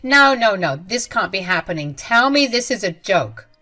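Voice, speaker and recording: A woman voicing a scornful, spiteful middle-aged mother-in-law who talks loud and shrill. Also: big pitch swings, normal speed, faint music behind. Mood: furious.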